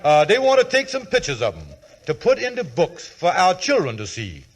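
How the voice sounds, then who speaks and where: 1940s radio comedy: folksy, scheming smooth talker, fairly high, very lively intonation, grandiose airs. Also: male voice, moderate pace, exaggerated voice. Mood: smooth, persuasive. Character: Kingfish, sly scheming smooth-talking con man posing as professor. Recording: vintage 1940s radio broadcast.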